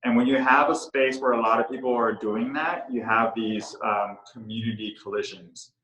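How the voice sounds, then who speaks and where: A middle-aged man, the co-founder of a coworking space, talking casually and haltingly with many fillers and restarts. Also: mid-range voice, steady tone, sharp tone. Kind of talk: casual talk on a virtual tour. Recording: echoey room.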